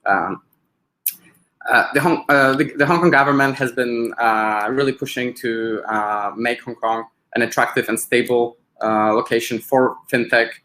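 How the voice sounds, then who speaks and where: Polish-accented man presenting, flat intonation. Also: mid-range voice. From Poland. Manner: halting, hesitant delivery with frequent filled pauses. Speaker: business expansion advisor presenting. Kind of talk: webinar presentation.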